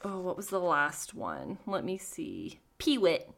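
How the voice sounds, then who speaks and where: A woman hosting a podcast, reading folktales aloud and chatting casually with informal, expressive asides. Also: on the low side, unhurried, gravelly. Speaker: podcast host and story reader.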